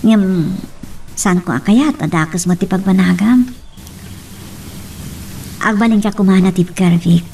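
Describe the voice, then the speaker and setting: A woman acts out a young woman in a romance audio drama, hurt but forgiving. Her delivery is earnest and measured, with a pleading edge, at a middling pitch. A faint ambient noise sits behind the voice. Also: mellow, close to an everyday voice. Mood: earnest, sorrowful. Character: forgiving, heartbroken young woman parting from a former lover. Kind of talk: Ilocano radio-style romance drama acting.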